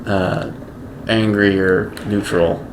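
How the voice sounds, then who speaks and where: Slow, monotone low-voiced man. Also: noisy background. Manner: slow, halting, self-described monotone. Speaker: gaming video creator. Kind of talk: casual gameplay commentary.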